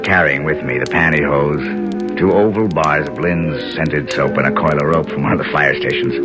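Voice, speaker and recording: An actor playing a wry, scheming tough-guy hero in a 1970s radio drama, teasing and slangy. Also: extremely low pitch. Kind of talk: 1970s radio drama acting with first-person narration. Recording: 1970s radio broadcast, music behind.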